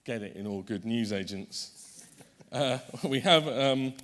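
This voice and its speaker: A man's voice: medium pitch, slow pace, fairly bright tone. A man chairing a conference panel, introducing the speakers in a chatty way, correcting himself often and making light jokes.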